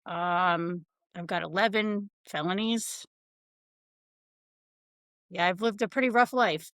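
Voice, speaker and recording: A woman's voice: on the low side, slow pace, fairly bright tone, dry recording, studio quality. A woman in her thirties from Connecticut, a podcast guest telling her life story in a blunt, frank, casual way.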